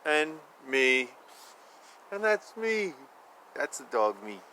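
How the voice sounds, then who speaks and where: Guy with a high voice reading picture books outdoors, slow pace, playful, chatty asides, bit of ambient noise. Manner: playful, expressive, repeats phrases. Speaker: children's book reader. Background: outdoor ambience, animal and vehicle sounds.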